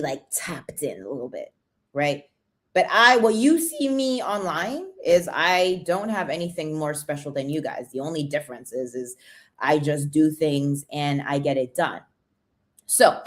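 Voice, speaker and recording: Motivational woman greeting livestream viewers. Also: lowish voice. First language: not English. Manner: energetic, greets viewers by name, lots of "right?". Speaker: livestream host, supplement seller.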